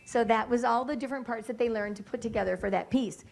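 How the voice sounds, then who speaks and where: A woman's voice: on the high side, vocal fry, a little mellow, slight background noise. A woman music teacher explaining a piece and directing her students in a meeting room. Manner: brisk, directive teacher talk. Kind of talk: classroom instruction to students. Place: school board meeting room.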